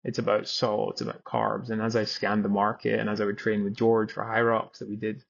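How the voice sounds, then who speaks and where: A man's voice: on the low side, level tone, brisk, creaky, highs cut off. A Scottish man in his thirties, a company founder telling his story in a podcast interview with frequent restarts. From Scotland. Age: 30s.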